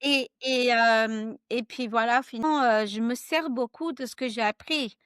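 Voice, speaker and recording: A woman guest author talking in a casual interview, animated and hesitant, laughing often and slipping into English. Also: on the high side, normal speed, clean tone, no room sound, clean studio sound.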